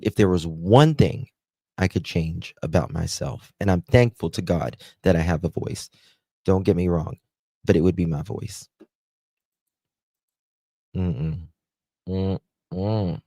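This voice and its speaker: A man with a Southern US accent hosting a live stream, chatting slowly with viewers and reading their comments aloud. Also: sits low, vocal fry, dark tone, no room sound. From United States. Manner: slow, chatty, drawling, talks to viewers by name. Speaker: livestream host.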